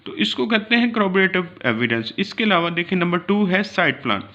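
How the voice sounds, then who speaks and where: A man's voice: high-pitched, expressive, bright, forceful tone. A man with a Pakistani accent giving an explanatory law lecture in a didactic manner, mixing in English terms. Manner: didactic, reads citations slowly then explains. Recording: dull lo-fi recording.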